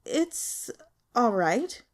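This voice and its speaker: A woman voicing a timid young male police intern in an audiobook, halting and sheepish. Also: on the high side, sing-song, heavily acted. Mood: sheepish, nervous.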